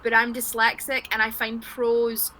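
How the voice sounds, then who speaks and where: High, bright, self-deprecating woman guest. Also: completely flat delivery, a little slow, slight background noise, poor audio. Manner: self-deprecating, candid, loose and sweary. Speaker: guest, poet. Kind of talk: casual livestream conversation. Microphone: video call.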